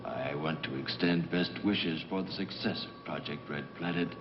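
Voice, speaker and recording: A ceremonious government envoy speaks courteously in a very low voice. It is dubbed film acting on a dull, noisy old soundtrack. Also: male voice, normal speed. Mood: formal, well-wishing. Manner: ceremonious, formal. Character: courteous, formal presidential envoy.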